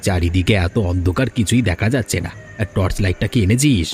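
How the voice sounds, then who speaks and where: Cartoon village man, low and grumbling, deliberate delivery over music male actor. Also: a little mellow, lightly acted. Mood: scheming, wary. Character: male village thief and weary elder brother, grumbling. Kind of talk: Bengali cartoon fairy-tale dubbing.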